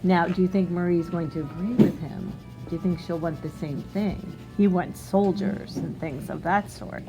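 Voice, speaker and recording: A woman reading a storybook aloud like a teacher, expressive and instructive, pausing to put questions to young listeners. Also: lowish voice, music in the background, rough recording.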